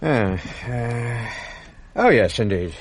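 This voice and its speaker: An actor playing a middle-aged college porter, deferential and talkative, in a radio play. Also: male voice, medium pitch, animated, takes it slowly, a little hiss or hum. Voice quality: deferential, repeatedly says "sir". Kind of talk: 1980s radio drama acting.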